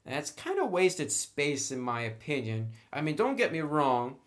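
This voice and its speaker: A man's voice: a little high, very animated, moderate pace, a bit bright. Man giving a casual tabletop game review. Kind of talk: casual unscripted review commentary.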